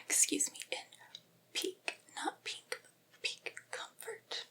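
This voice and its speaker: A woman whispering softly and breathily in an ASMR roleplay, playing a doting, slightly flustered familiar with a gentle, hesitant, soothing manner. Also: takes it slowly.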